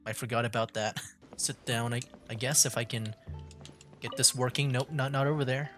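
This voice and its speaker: A man's voice: mid-range voice, fairly even intonation, moderate pace, over music. Male streamer, casual game commentary, chatty, laughs a lot.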